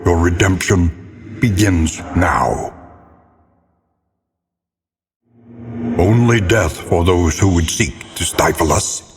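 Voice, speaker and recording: An actor playing a grave, brooding narrator of a gothic horror game, with solemn, theatrical, deliberate delivery. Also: male voice, sits low, expressive, exaggerated voice. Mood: stern, foreboding. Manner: ominous, solemn, deliberate, ornate phrasing. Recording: long cavernous reverb.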